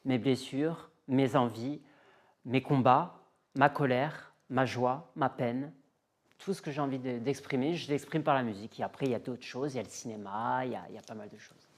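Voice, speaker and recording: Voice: medium pitch, moderate pace. A self-assured interview guest from the south of France, talking candidly and emphatically and repeating phrases for effect. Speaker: guest, music artist.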